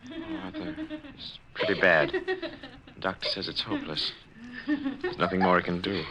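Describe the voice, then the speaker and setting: A man's voice: sits low, wildly swooping pitch, normal speed, over a noisy crowd, poor audio. A man playing a grave bearer of dreadful news in a 1940s radio drama. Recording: old radio recording, murmuring background.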